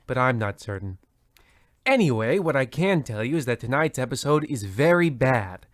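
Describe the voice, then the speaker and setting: A man playing a pompous, bumbling horror radio show host, narrating in a deliberate, dramatic, mock-ominous way. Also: mid-range voice, a bit bright, dry recording, clean studio sound, close to an everyday voice.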